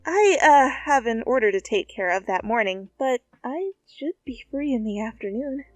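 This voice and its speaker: A woman's voice: on the high side, animated, normal speed, no echo, voice pushed up, close to an everyday voice. A shy, polite young baker played by a woman reader speaks in a hesitant, friendly way. The voice is close and dry, with faint music under the story. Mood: cautious. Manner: hesitant, cautious.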